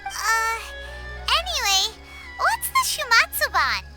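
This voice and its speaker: A woman voicing a tiny, childlike floating girl companion in an English video game dub, squeaky, curious and full of exclamations. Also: wildly swooping pitch, slow pace, bright, forceful tone, heavily acted, poor audio. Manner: exclamatory, inquisitive. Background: game music.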